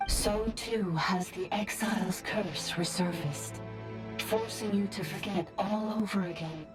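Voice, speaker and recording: A woman's voice: medium pitch, close to an everyday voice. Grave, solemn ancient priestess voiced by a woman. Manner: grave, deliberate, formal. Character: ancient female dragon sovereign in a service bot, solemn and regretful. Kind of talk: dramatic video game voice acting. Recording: clear music under the voice.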